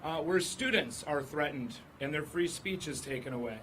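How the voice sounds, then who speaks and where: A hoarse man, a state senator, speaking at an outdoor press conference, stacking up a long list of grievances. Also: a little high, animated, normal speed, fairly bright tone, a little hiss or hum. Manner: building lists of grievances.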